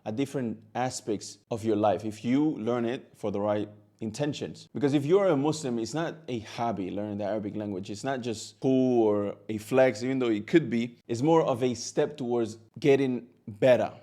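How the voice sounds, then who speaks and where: A man's voice: medium pitch, moderate pace, warm tone. A man whose first language is Catalan, an Arabic teacher giving an earnest, direct talk to camera, now and then repeating a word as he goes.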